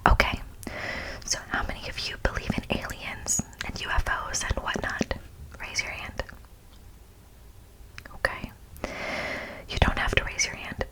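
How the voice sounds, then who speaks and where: Whispering American woman, slow ASMR delivery. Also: low-quality recording. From United States. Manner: hushed, deliberate, with playful asides to the listener. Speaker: ASMR host. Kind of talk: ASMR, whispered reading of an informative speech.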